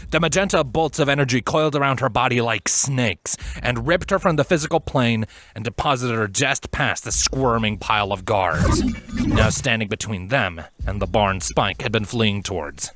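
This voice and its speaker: A man's voice: mid-range voice, a bit bright, no echo. A man reading a fantasy story aloud as a dramatic scripted narration. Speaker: fan fiction narrator.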